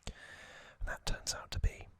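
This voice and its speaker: A man whispering softly in a breathy, deliberate ASMR style, telling a recap in short phrases with long pauses between them. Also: no echo. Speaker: host of an ASMR trivia channel. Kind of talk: ASMR whispered storytelling, loosely scripted recap.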